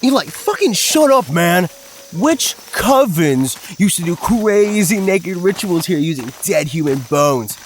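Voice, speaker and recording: Man playing a brash, high-pitched young ghost-hunting vlogger. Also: very animated, unhurried, slight background noise. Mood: cocky, irritated. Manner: cocky, slangy, hyped-up vlogger patter. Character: brash, crude, hype-driven young male ghost-hunting video host. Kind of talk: audio drama acting as a video host.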